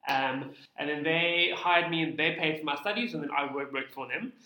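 A man with a South African accent, an interview guest telling his story casually in an informal, halting way, in a roomy recording. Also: fairly high pitch, pushed and bright. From Cape Town, South Africa. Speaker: interview guest, tax practitioner. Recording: roomy, echoey sound.

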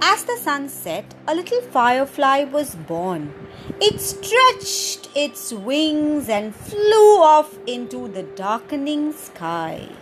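A woman hosting a children's storytime podcast, reading a picture book aloud in an animated, sing-song way and adding playful sound effects. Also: sits high, slow, a little hiss or hum, poor audio.

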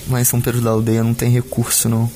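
A man's voice: mid-range voice, completely flat delivery, very fast, dark tone, natural voice. A man playing a worried young Brazilian film student, a devoted son, talking casually in a naturalistic audio drama. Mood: afraid. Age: young adult. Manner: casual, colloquial, short phrases.

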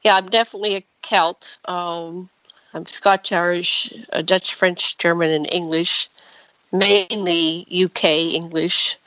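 A woman in her sixties hosting a radio interview, chatty and quick to jump in. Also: on the low side, fairly even intonation, fairly bright tone, no echo, rough recording. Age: 60s. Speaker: radio host.

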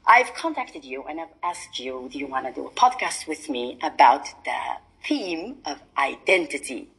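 A woman's voice: low-pitched, expressive, normal speed, a bit bright, slightly rough, rough recording. A young adult Belgian woman with a Belgian accent, hosting an interview podcast, speaking hesitantly with many fillers and encouraging prompts. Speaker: podcast host.

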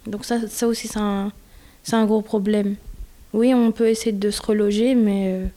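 A woman's voice: mid-range voice, level tone, moderate pace, dark tone. A woman in her twenties from Belgium, talking candidly and a little hesitantly in a casual conversation, with frequent fillers. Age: 20s. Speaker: guest.

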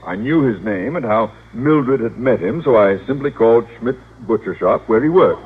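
An actor playing a pompous, blustery middle-aged high school principal in an old-time radio comedy. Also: male voice, expressive, slightly rough. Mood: self-satisfied. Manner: pompous, blustery, deliberate emphasis. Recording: tinny vintage radio recording with noise.

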